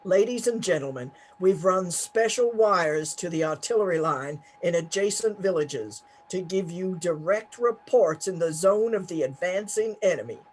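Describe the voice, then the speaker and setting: High-pitched radio news announcer played by a man. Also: expressive, normal speed, few pauses, poor audio. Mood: hurried. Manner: formal, telegraphic reading. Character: urgent, formal radio news announcer reading bulletins. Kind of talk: 1930s radio news acting.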